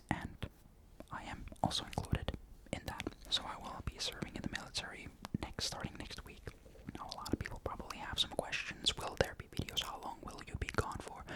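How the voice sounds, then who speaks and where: A young man from Finland, chatting in a soft ASMR whisper into a desktop mic, rambling with lots of fillers. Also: rough recording. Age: young adult. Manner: soft unvoiced whisper throughout; rambling, many fillers and restarts. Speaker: ASMR creator. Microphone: desktop condenser mic.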